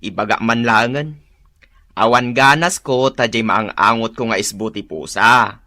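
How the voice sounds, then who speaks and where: A man's voice: lowish voice, measured pace, pushed and bright, no echo, studio quality. A man with an Ilocano accent playing a grumpy, stubborn husband in a comedy radio drama, grumbling and exclaiming. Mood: complaining.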